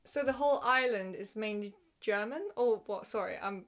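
A woman's voice: mid-range voice, moderate pace, fairly bright tone. A woman hosting a podcast in an informal, chatty interview style, with a giggly manner. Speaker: podcast host. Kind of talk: casual podcast interview chat.

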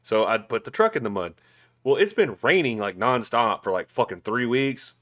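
A man's voice: mid-range voice, expressive, moderate pace, fairly bright tone. A man in his twenties, co-hosting a podcast in casual chat, laid-back and swearing a lot. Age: 20s. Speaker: podcast co-host.

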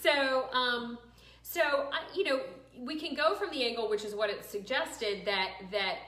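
A middle-aged woman hosting a casual talk show, chatty and quick with asides, laughing often. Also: on the high side, a bit bright. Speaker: host. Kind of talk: casual chat. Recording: echoey room.

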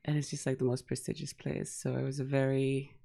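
A woman from Sweden, a guest in a casual online interview, upbeat and quick to laugh, talking over a video call. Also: very deep voice, gravelly. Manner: laughs often, lively and upbeat. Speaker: guest, founder of a speaking business.

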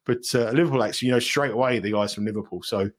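London-accented male betting analyst, chatty and animated, mid-range voice. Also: medium pitch, fast talker. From England. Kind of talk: podcast interview.

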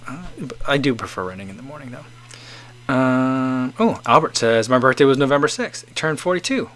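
A man's voice: mid-range voice, lively intonation, normal speed, fairly bright tone. A man in his forties casually chatting as host, reading out viewer comments and rambling with many restarts. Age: 40s.

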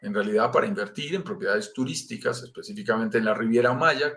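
A man's voice: mid-range voice, vocal fry. Colombian man presenting a live webinar. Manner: explanatory, addresses viewer directly, frequent "eh" fillers. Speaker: real estate investment presenter.

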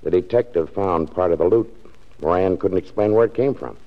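An actor playing a brisk, skeptical, emphatic district attorney in a 1940s radio drama. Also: male voice, low-pitched. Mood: matter-of-fact. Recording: muffled 1940s radio recording.

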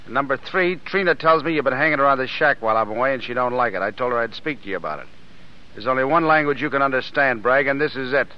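An actor playing a gruff, cocky working-class American tough guy in a blunt, slangy 1930s film style. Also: medium pitch, fast, a little hiss or hum, close to an everyday voice. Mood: gruff, scornful. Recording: dull old film soundtrack, music behind.

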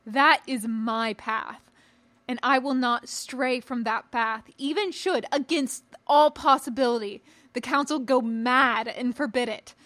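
Woman as resolute male knight, measured and formal. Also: a little high, pushed and bright, no echo. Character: principled, resolute male Jedi knight and patient teacher.

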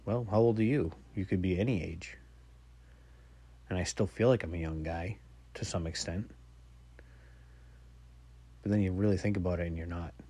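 A man in his forties with a low voice rambles wryly through everyday stories on his solo podcast. He mocks himself and swears casually. The sound is dry and close. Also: mellow, dry recording. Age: 40s. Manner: rambling, wry and self-mocking. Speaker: podcast host.

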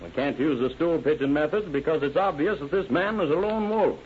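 An actor playing a commanding, calm, no-nonsense sheriff with a deliberate, authoritative manner, in 1930s radio drama style. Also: male voice, fairly high pitch, lightly acted. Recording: noisy muffled old-time radio recording.

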